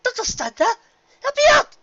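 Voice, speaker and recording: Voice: very high voice, big pitch swings, normal speed, fairly bright tone, no room sound, muffled recording, voice pushed up, full character voice. A narrator voicing a prim, dramatic female pony in a theatrical, genteel, exclamatory way for a fan fiction audiobook. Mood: indignant, alarmed.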